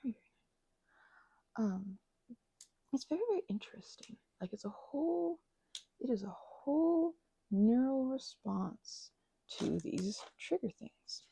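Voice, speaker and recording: A woman's voice: on the high side, lively intonation, measured pace, gravelly, long pauses, warm tone, husky, poor audio. A woman chatting in a rambling, casual way while she prepares craft materials, full of fillers and restarts. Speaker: ASMR and audio creator.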